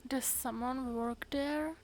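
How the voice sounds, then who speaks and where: A woman's voice: sits high, fairly even intonation, takes it slowly, slight background noise. A woman giving casual live reactions to a game, wondering aloud in short, hesitant phrases. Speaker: game streamer.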